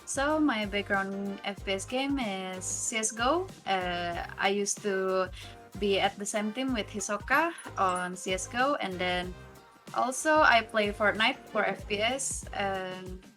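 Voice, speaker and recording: A woman from Indonesia with a very bright, forceful voice, answering interview questions as a player. She phrases things simply and plainly. Faint music plays behind her. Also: mid-range voice, slow pace. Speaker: esports player.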